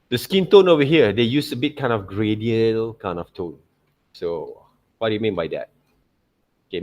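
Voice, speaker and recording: Malaysian-accented man teaching a class. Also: on the low side. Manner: repeats tag words like okay and right. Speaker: art teacher. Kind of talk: informal software tutorial to students.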